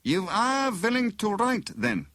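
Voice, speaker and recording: A man voicing a menacing villain in an audiobook, with a sneering, deliberate and formal way of threatening. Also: sits high, wildly swooping pitch, fairly bright tone, no room sound, studio quality, voice pushed up, full character voice. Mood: coldly insistent. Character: menacing, sneering male villain posing as inspector. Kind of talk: dramatised audiobook dialogue.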